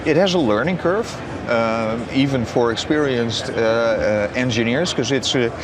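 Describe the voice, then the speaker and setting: A man in his forties giving measured interview answers as a company representative, often pausing and repeating words. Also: mid-range voice. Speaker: company business strategist being interviewed. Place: open booth in a large busy exhibition hall. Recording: noisy trade show hall ambience.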